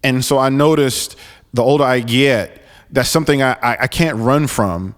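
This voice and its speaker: A man in his forties hosts his podcast alone, speaking earnestly and stumbling through many restarts. His voice is smooth and resonant, and a long reverb hangs behind it. His pitch sits in an ordinary middle range. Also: normal speed. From New York, raised in Florida. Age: 40s. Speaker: podcast host. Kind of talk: solo podcast monologue.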